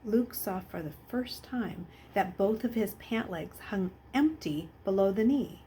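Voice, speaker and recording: Lady reading a storybook out loud, medium pitched voice, some noise in the background. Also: measured pace. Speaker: narrator reading aloud. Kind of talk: scripted storybook narration.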